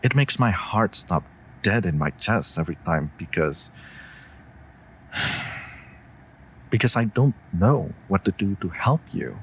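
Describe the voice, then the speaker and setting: A man's voice: low voice, expressive, slow pace, long pauses, slight background noise, full character voice. A man playing a devoted, worried wolf familiar in a comforting audio roleplay, speaking tenderly and formally to a mage. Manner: tender, earnest, formal phrasing.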